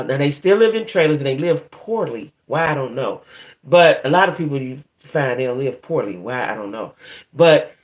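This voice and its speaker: A woman from the northern United States hosting a casual live stream, chatting playfully and colloquially in a rambling way, often tagging her thoughts with a quick check-in. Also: low voice. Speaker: live stream gossip show host.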